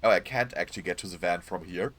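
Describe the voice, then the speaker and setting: A man streaming a game live, hoarse and losing his voice, chatting apologetically to his viewers. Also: deep voice, quick pace, a bit bright. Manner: apologetic, chatty, talks to chat. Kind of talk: live gameplay commentary.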